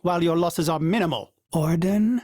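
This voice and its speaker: A man's voice: fairly high pitch, normal speed, darkish tone, dry recording, clean studio sound, lightly acted. A man voicing a fussy, polite male ship AI in a video game, prim and formal and referring to itself in the third person. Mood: scolding.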